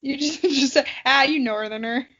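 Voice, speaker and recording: Young woman, comedy podcast co-host. Very high voice, unhurried, teasing and giggly, slightly lo-fi sound. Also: a bit bright. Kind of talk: casual comedic banter.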